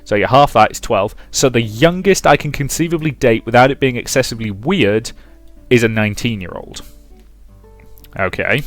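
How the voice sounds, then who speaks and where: A man's voice: mid-range voice, moderate pace, faint music behind. Wry young British man, casual commentary. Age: 20s. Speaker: let's play host. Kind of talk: casual commentary while reading a visual novel aloud.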